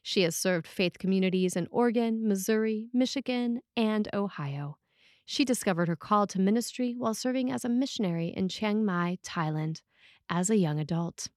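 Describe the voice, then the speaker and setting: A woman priest reads a scripted sermon in a measured, deliberate way, with pauses between phrases. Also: medium pitch, darkish tone, no echo, clean studio sound.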